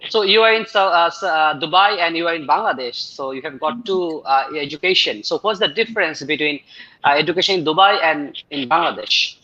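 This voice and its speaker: A man hosting live speaking-exam practice as tutor, asking questions in a halting way with many fillers. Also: a little high, sharp tone, muffled recording.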